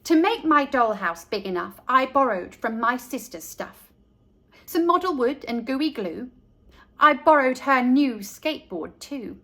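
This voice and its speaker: Female storytime reader, high and bright, animated delivery for a young audience. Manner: deliberate, animated storyteller addressing children. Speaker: children's storytime narrator. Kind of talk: expressive picture-book read-aloud for young children.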